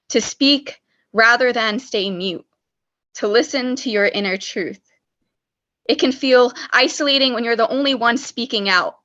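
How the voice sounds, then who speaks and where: A woman's voice: fairly high pitch, sharp tone. Earnest woman reading poetry over muffled call audio. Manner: measured, earnest recitation. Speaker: open mic poet. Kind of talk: spoken word poetry reading. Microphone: video call.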